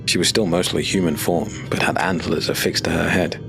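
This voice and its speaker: A man narrating a dark fantasy audio drama in a measured, steady storytelling voice. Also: deep voice, gravelly. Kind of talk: scripted narration. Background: music.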